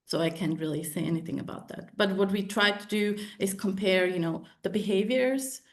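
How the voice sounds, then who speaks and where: Woman, researcher presenting findings, halting academic delivery. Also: mid-range voice. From Czech Republic. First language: Czech. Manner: hesitant, many fillers and restarts.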